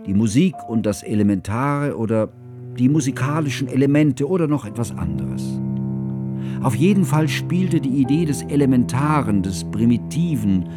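An actor playing a cultured, reflective narrator in a literary radio drama, recalling events in a measured way. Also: male voice, medium pitch, animated, lightly acted. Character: cultured, reflective male friend recounting a remembered lecture. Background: plucked guitar music.

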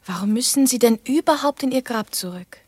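A sheltered young noblewoman in a radio play. Her voice is fairly high and breathy, earnest and wistful in manner. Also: expressive, brisk, darkish tone, no room sound. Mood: wistful, subdued. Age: young adult. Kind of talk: radio drama acting.

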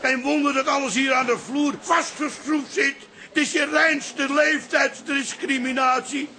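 A man's voice: very high voice, fairly even intonation, measured pace, sharp tone, slight background noise, exaggerated voice. An actor playing an indignant, boastful old father in a radio drama, grumbling and blustering. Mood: outraged. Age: older.

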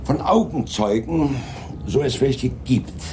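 A man playing a dry, composed, persistent police inspector in a radio crime play, speaking with measured, polite insistence. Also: medium pitch, expressive, a little mellow, slight background noise. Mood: serious, insistent. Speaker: actor in a radio crime drama. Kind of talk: 1980s German radio play acting.

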